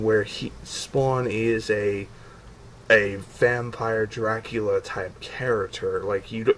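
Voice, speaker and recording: Male, casual fan talk, average pitch. Stumbling, repetitive, sweary delivery on a noisy amateur recording. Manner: repetitive, stumbling over words, often swearing. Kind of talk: casual fan chat about comic book films. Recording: noticeable background noise, amateur recording.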